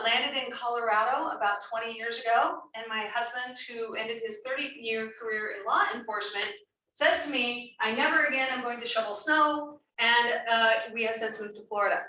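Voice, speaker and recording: Loud, bright-voiced woman facilitator. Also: fairly high pitch. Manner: loud, brisk, self-assured. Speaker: meeting facilitator. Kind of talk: public meeting facilitation. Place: senior center meeting room. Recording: echoey room, distant mic, faint hiss.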